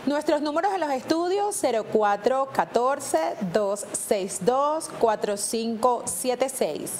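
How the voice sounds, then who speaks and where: A woman's voice: high-pitched, animated, darkish tone, a little hiss or hum. A Venezuelan woman hosting a TV legal program, speaking in a polished, formal, announcer-like way. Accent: Venezuelan Spanish. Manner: polished, announcer-like, slows down for numbers. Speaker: TV host and lawyer. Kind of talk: formal TV presenting.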